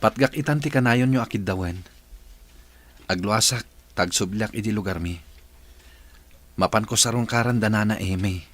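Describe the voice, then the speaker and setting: An actor playing a devoted, earnest young adult son in a radio drama. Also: male voice, on the low side, normal speed, long pauses, a bit bright. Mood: cheerful, respectful. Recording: noisy ambient background.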